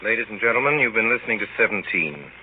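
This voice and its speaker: A man hosting and announcing a 1930s radio program in a formal, measured manner. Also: on the low side, expressive, narrow, tinny audio, over music. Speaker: host, announcer. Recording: thin, band-limited 1930s radio recording.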